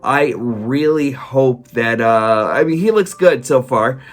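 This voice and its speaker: A man talking casually to his viewers in a vlog, hoarse from a cold, rambling with lots of fillers and restarts. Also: medium pitch, slow. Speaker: toy collector vlogger. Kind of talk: casual solo vlog chat.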